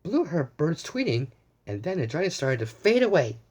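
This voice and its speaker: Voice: medium pitch, sing-song, normal speed, a little mellow, breathy edge. A story time host reading a storybook aloud to young children, with exclamations, asides and repeated phrases.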